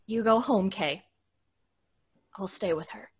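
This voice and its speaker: A woman's voice: medium pitch, slow pace, husky. A woman playing a sensible, firm, protective young woman, earnest and emphatic, in old-time radio drama style. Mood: steadying.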